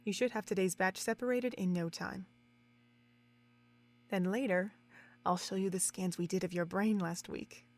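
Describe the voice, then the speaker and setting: A woman's voice: mid-range voice, fairly even intonation, normal speed, long pauses, lightly acted. A woman playing a self-assured, zealous senior scientist mare in an audio drama, lecturing with condescension. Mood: lofty, zealous.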